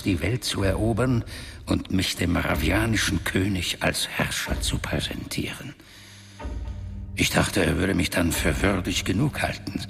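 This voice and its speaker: An actor playing a proud, lovelorn demon warlord who confesses his past in a brooding, measured radio drama monologue. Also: low-pitched, creaky, full character voice. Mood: proud, scheming. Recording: music under the voice.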